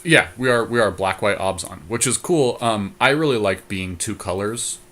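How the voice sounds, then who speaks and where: A man's voice: lowish voice, expressive, normal speed, fairly bright tone. A man co-hosting a casual podcast chat about a card game, thinking aloud as he goes. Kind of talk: casual back-and-forth chat while building a card deck.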